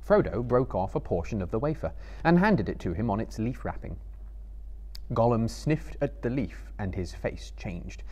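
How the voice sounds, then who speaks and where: A man in his thirties reads literary fiction aloud as a dramatic storyteller. His voice sits low and has a husky grain. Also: sing-song, normal speed, dark tone. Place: book-lined room before a small live audience.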